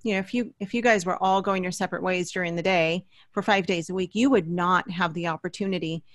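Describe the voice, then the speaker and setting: A woman's voice: fairly low pitch, steady tone, fast talker, no echo. A middle-aged woman hosting a casual interview in an effusive, enthusiastic way on a close studio microphone. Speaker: podcast host, interviewer. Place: small indoor studio.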